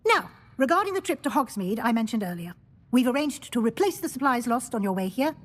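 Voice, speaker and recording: Stern professor, highish voice. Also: female voice, big pitch swings, fairly quick. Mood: brisk, stern. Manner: formal, authoritative. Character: stern, brisk older female wizard-school professor. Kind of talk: video game acting.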